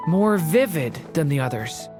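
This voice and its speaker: An earnest young adventurer in a game. Her slightly low voice is mild yet varied in melody, with prominent music behind. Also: animated, measured pace. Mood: thoughtful. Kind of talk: video game character acting.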